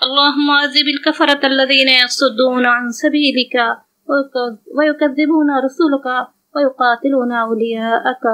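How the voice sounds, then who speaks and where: A woman leading a recited religious supplication in a solemn, pleading manner with measured phrasing. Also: high-pitched, bright, forceful tone. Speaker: prayer leader.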